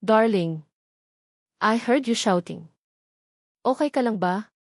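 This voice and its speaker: A woman's voice: on the high side, takes it slowly, dry recording, studio quality. A woman narrator voicing an anxious, evasive wife who speaks hesitantly and unsurely in a story drama. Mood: careful concern. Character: evasive, anxious young wife hiding something.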